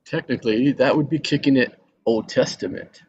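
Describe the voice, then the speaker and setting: A man plays a noncommittal yuppie husband in a screenplay read. His voice is mid-pitched with heavy vocal fry, dry and wry. The recording is slightly dull. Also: normal speed, darkish tone, natural voice. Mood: businesslike, dry. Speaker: actor in a live table read. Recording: dull mic with faint noise.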